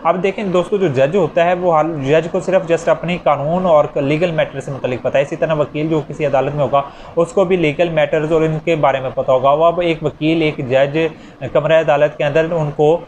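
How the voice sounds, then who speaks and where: Male law lecturer, Pakistani Urdu accent, slightly high voice, conversational teaching manner, faint background noise. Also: fairly even intonation, moderate pace, a little mellow, muffled recording. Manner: conversational, explains with examples.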